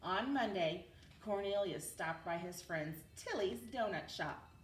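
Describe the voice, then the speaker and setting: A woman narrates a children's story in an unhurried, animated way. She sounds a little distant in a roomy space. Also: medium pitch. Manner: expressive read-aloud delivery. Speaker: teacher reading a storybook aloud. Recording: roomy, distant mic with background noise.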